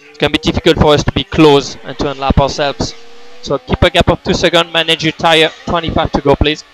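A man's voice: fairly high pitch, level tone, fast, crowd noise. A man working as a race engineer, giving terse, repeated instructions in a clipped manner over team radio. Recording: noisy motorsport team radio.

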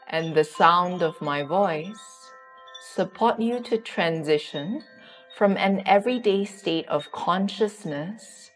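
A woman leading a guided yoga nidra meditation in a soothing, unhurried way, with long pauses. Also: lowish voice.

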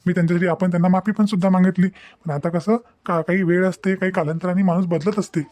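A protective young husband, acted by a man, talking quickly in a high but mellow voice. He reasons and gently scolds like a lecturer in a cartoon melodrama, checking often with short questioning hums. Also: flat delivery, dry recording, natural voice. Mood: calm reasoning. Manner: insistent, lecturing, peppered with questioning "hm". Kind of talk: amateur Marathi cartoon melodrama dialogue.

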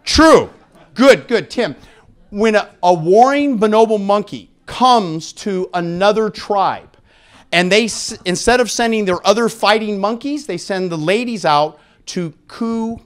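Candid, higher-voiced man lecturing. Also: lively intonation, unhurried. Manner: jokey, candid, conversational. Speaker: conference speaker on sexual health. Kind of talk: live lecture to an audience, frank and informal. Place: indoor conference room with seated audience. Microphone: handheld microphone.

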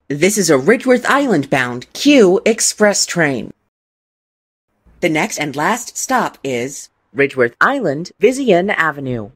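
Female transit announcer. Low, slightly husky voice, formal measured delivery in separate phrases. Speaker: automated train announcer.

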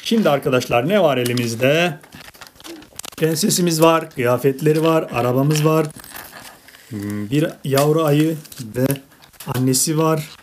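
Low-voiced woman chatting over toys. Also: measured pace, a little mellow, slight background noise. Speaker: parent hosting toy unboxing videos. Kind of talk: casual narration for children, chatting with a child. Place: indoors at a table.

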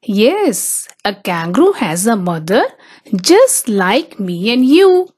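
Female storybook narrator for small children; very slow delivery, lively intonation. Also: medium pitch, breathy edge. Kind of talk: children's picture book read-aloud.

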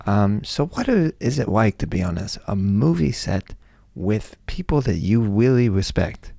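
Man putting on an earnest, halting little boy podcaster, lively pitch. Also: gravelly, no echo, full character voice. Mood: awkwardly formal. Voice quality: muddled consonants, says r as w. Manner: halting, awkwardly formal. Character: eight-year-old boy hosting an amateur podcast, earnest, touchy.

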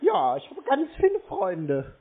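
A man's voice: very high voice, wildly swooping pitch, measured pace, creaky, a crowd in the background. A man baiting callers in a mocking prank phone call, sarcastic and provoking. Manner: sarcastic, provoking, putting on voices. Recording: narrow phone-like sound.

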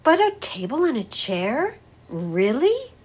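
A woman voicing a small, curious, excitable bird in a storybook, full of questions and exclamations. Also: high-pitched, slow, breathy edge, pitched above the performer's own voice, full character voice. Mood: surprised. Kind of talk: storybook read-aloud character voice.

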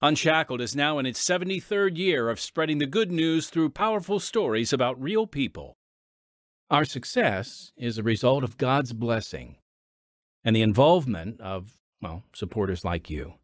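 Male American host, mid-pitched and very animated, scripted listener appeal, dry booth. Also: moderate pace, dry recording. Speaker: program host. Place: dry studio.

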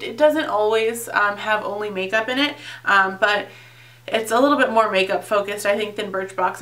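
Young woman, very bright tone, chatty vlogger, voice recovering from sickness, slightly distant mic. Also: medium pitch. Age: young adult. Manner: chatty, rambling, informal. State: slightly off voice, getting over being sick. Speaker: beauty vlogger. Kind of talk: casual chatty unboxing vlog. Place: indoors, small home filming set.

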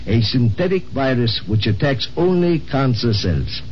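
A man's voice: mid-range voice, expressive, lightly acted. A kindly man of the far future, acted by a man, feeling his way through stilted, broken English in an old radio play. The recording is muffled, with faint noise. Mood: reassuring. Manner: halting, stilted, broken grammar. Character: kindly future man speaking halting, archaic-learned English. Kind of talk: 1950s American radio drama acting.

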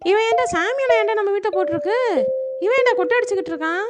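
A woman's voice: very high-pitched, fast, loud music behind the voice. Piercing, scolding old mother-in-law. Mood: indignant. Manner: scolding, questioning. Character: indignant bossy elderly mother-in-law back from presumed death. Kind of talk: Tamil comedy cartoon voice acting.

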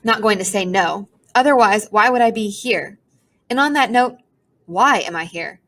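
A woman's voice: mid-range voice, normal speed, fairly bright tone. A woman voicing a melodramatic, self-pitying talking pigeon with a pompous, theatrical manner. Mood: haughty. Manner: theatrical, sighing, haughty complaints.

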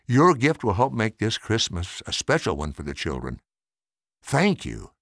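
An older man voicing a kind, gracious woman who runs an orphanage in a storybook, gentle and polite. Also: lowish voice, huge pitch swings, a little slow, vocal fry, no echo, clean studio sound, heavily acted. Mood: grateful.

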